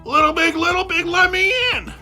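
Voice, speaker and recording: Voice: extremely high pitch, steady tone, fairly quick, sharp tone, soft background music, exaggerated voice. A storyteller voicing an aggrieved, self-justifying big bad wolf who tells his side of a children's fairy tale with exasperated, emphatic repetition. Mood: loud, excited. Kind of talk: animated first-person storytelling for children.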